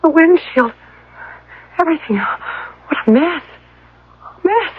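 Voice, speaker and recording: An actress playing an anxious, polite young stranded traveler in a radio drama, stammering and breathless in a theatrical way. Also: female voice, extremely high pitch, animated, slow, full character voice. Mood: dazed, distressed. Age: young adult. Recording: muffled, hissy old radio recording.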